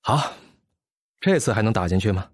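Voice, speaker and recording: An actor playing a brooding, scornful physicist in mourning, with a sighing, world-weary manner, in an audio drama. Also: male voice, fairly low pitch, expressive, normal speed, husky, no room sound. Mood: brisk.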